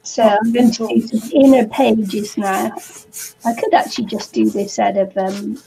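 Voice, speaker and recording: A woman with a New Zealand accent and a scratchy voice today, hosting a relaxed craft chat. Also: mid-range voice, vocal fry. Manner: slow, relaxed, laughs between phrases. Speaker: craft channel host.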